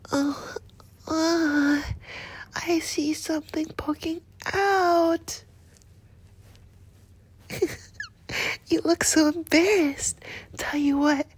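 A woman voicing a doting, flirtatious nurse in a whispered roleplay, with a soft, breathy, slow and coaxing delivery. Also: high-pitched. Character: doting, flirtatious female nurse, motherly and teasing.

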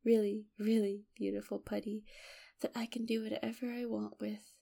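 A woman playing a sweetly menacing, possessive kidnapper in an intimate audio roleplay, speaking softly with breathy whispers and a coaxing, condescending affection. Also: mid-range voice, level tone, moderate pace. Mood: adoring, possessive. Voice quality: soft, often dropping into breathy whispers.

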